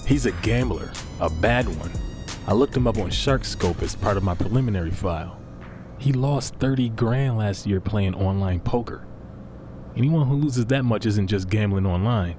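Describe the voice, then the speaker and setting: An actor playing a brash, impatient schemer in a podcast drama, blunt, slangy and sarcastic. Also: male voice, on the low side, moderate pace, a little mellow, faint music behind, close to an everyday voice. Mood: scheming. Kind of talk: naturalistic fiction podcast acting.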